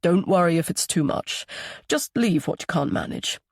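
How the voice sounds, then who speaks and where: Narrator voicing a friendly, animated woman. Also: fairly low pitch, moderate pace, breathy edge, dry recording, studio quality. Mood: easygoing. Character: friendly, welcoming, easygoing mother and daughter. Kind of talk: audiobook character dialogue.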